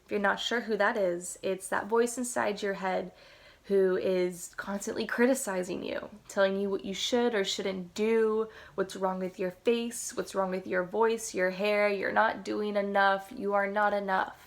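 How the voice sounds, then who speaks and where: Woman, meditation guide, slow pace, mid-pitched voice, soothing instructional delivery. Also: fairly even intonation, vocal fry.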